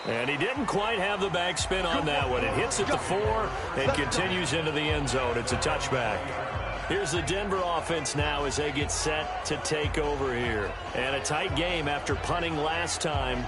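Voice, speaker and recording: Over loud stadium noise, an actor as a male football play-by-play announcer calls the game. His voice sits a little high, and the delivery is clipped and polished, in video game broadcast style. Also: normal speed, natural voice. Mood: focused. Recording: stadium noise behind the commentary. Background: loud stadium ambience of a football game.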